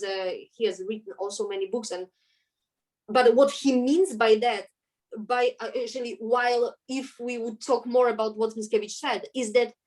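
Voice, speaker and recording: A woman with a Polish accent speaks in a slightly high voice, earnest and thoughtful. She often pauses to search for the right word in her second language, restarting phrases as she works out an idea in a relaxed interview. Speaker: guest. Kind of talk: conversational interview.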